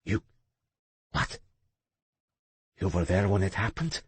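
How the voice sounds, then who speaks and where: Male narrator as a menacing older uncle, low raspy voice, coaxing. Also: expressive, slow pace, no room sound, heavily acted.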